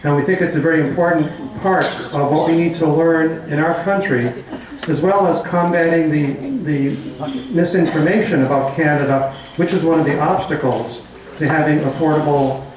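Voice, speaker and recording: A middle-aged man organizing a community forum, making announcements in a halting way full of fillers. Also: a little high, fairly even intonation, noisy background. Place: community hall meeting room. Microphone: handheld mic, far camera. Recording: echoey hall from far off.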